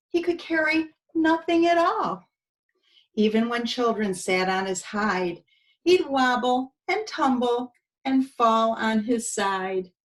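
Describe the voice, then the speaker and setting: A woman with a fairly high voice reads a children's story very slowly and expressively. She sounds like a preschool teacher, pausing over phrases and speaking directly to her young listeners. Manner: talks to the children with asides.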